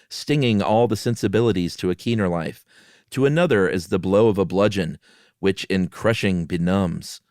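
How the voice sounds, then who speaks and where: A man's voice: fairly low pitch, a little mellow, no room sound, clean studio sound. A man doing a dramatic story reading, with casual conversational asides. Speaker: co-host reading horror stories aloud.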